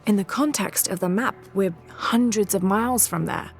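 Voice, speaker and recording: An actress playing a determined, inquisitive young female archaeologist in a measured, scholarly way for a video game cutscene. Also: mid-range voice, natural voice. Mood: thoughtful. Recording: music under the voice.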